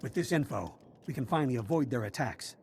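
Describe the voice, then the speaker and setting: An actor playing a frustrated, battle-worn prison officer in a video game, brisk and practical. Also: male voice, medium pitch, animated, gravelly, slight background noise. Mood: businesslike. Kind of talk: video game character acting.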